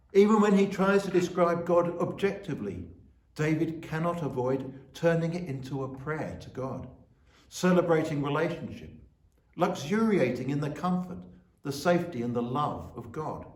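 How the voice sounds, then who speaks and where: A man giving a church sermon as officiant, speaking in measured, deliberate short phrases. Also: fairly high pitch, a little mellow. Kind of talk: sermon with scripture reading and prayer. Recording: roomy, echoing sound.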